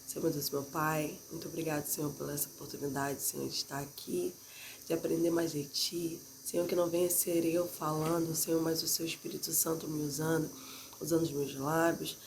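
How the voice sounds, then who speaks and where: A woman leads a Bible lesson with a stuffy, nasal voice, hoarse from a cold and carrying a gravelly rasp. She talks conversationally and keeps checking in with her listeners by tagging "né?" onto her sentences. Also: sits low, level tone, fairly quick, noisy background. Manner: conversational, frequently adds "né?" tag questions. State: congested and slightly hoarse from a cold. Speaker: Bible teacher. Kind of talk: Bible class teaching.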